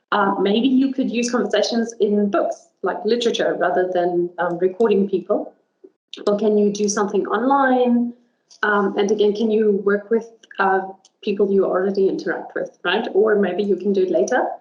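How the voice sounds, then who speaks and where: A young woman, a linguist whose first language is German, giving a webinar talk with many ums and a frequent "right?". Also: on the high side. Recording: roomy, dull video call audio.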